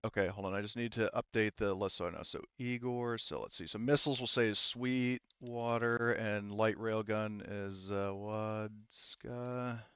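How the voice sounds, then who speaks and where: Smooth-voiced man running a tabletop game. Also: on the low side, fairly even intonation, unhurried, hardly any pauses, a bit bright, no room sound, muffled recording. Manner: informal, sweary, hesitant, thinking aloud. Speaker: game master. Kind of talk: casual tabletop game narration.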